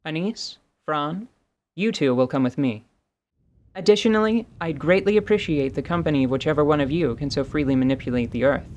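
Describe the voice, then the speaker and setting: A woman voicing a wry, commanding female mercenary leader in radio theatre, deliberate and deadpan. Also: low voice, close to an everyday voice. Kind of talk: radio theatre character acting.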